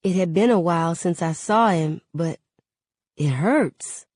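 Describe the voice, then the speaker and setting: A woman's voice: fairly low pitch, a little slow, darkish tone, dry recording. A woman voicing a guarded, grieving teenage girl who speaks in a subdued mutter in an audiobook. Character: guarded, grieving teenage girl, quietly holding back tears.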